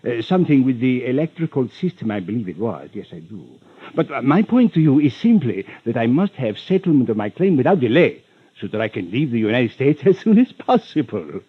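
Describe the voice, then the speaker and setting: An actor playing a rich, unctuous villain in an old radio drama, florid and over-polite with hesitant stammers and veiled menace. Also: male voice, mid-range voice, sing-song, a little fast, breathy edge, dry recording. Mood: smooth, persuasive. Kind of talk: 1940s-50s radio drama acting. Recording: muffled old radio recording.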